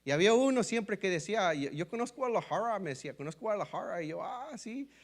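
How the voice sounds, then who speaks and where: High-voiced Mexican man preaching a testimony. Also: fast talker. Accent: Mexican Spanish. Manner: storytelling, repeatedly addressing listeners as brothers; lively, acts out quoted dialogue. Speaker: pastor. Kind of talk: sermon testimony.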